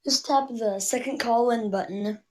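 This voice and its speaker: A woman's voice: on the high side, moderate pace, a little mellow. A woman giving a hesitant, casual explanation as a guest.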